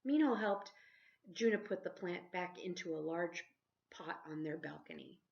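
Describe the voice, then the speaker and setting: A woman reading a children's picture book aloud expressively, like a teacher, pausing to ask her listeners questions. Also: fairly low pitch, gravelly, darkish tone. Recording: dull lo-fi sound.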